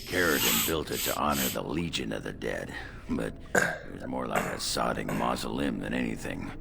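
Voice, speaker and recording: An actor playing a gruff, coarse dwarf warrior with a gravelly voice in a fantasy video game. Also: male voice, rumbling low, normal speed, noisy background, exaggerated voice. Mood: gruff, explaining. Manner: blunt, coarse.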